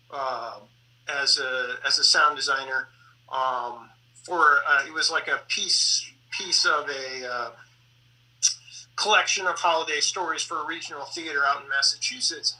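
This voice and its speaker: A middle-aged man speaking conversationally as a sound designer, in halting phrases full of pauses and self-corrections. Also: fairly high pitch, bright, forceful tone, distant mic, poor audio. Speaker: sound designer and composer for radio plays. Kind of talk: conversational panel talk about theater production.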